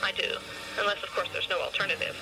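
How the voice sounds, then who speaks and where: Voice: mid-range voice, fairly even intonation, fast talker, background noise, natural voice. A performer voicing a vain, suffering female fashion model from the future in an audio science fiction story, with music behind. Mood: pleading, earnest.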